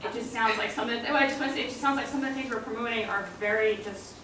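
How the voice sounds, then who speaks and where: A woman's voice: a little high, rapid-fire. A woman in the audience asking a concerned question, searching for her words. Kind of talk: panel Q&A. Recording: echoey room, distant mic, noisy.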